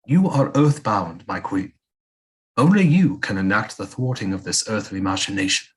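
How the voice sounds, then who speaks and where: A man acting a devoted, cryptic ghost of an old seer in an audio play, husky and courtly. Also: fairly low pitch, expressive, normal speed. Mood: devoted, encouraging. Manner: courtly, solemn, archaic diction. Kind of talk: theatrical audio drama acting.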